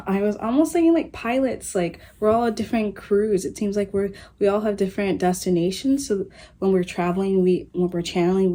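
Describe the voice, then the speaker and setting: A young woman giving a casual psychic reading to her viewers, musing and dreamy, with soft giggles. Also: mid-range voice. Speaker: psychic reader channeling messages. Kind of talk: casual spiritual reading to camera.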